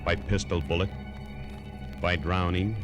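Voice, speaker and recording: A man's voice: low voice, fairly even intonation, measured pace, over loud music. An actor playing an uneasy, tired man who suspects his companion, in a hesitant, brooding old-time radio drama style. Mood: brooding, suspicious. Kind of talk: old-time radio drama acting, first-person narration.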